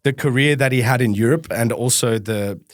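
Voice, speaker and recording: A man's voice: medium pitch, fairly quick, no room sound. Australian man hosting, close dry sound. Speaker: podcast host. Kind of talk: casual interview chat.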